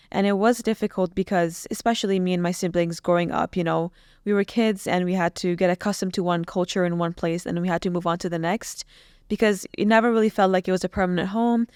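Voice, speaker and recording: A woman's voice: fairly low pitch, a little mellow, clear, resonant tone, no echo. A young woman from Iran, telling her story earnestly and reflectively as a podcast guest in a small studio. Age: young adult. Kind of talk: podcast interview. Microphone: boom mic on stand.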